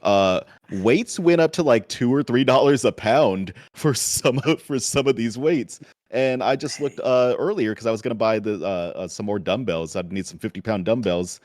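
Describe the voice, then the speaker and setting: A man's voice: medium pitch, normal speed, a little mellow, dry recording, studio quality. A man co-hosting a podcast, chatting in a jokey, upbeat way. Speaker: podcast co-host. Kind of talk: casual chat.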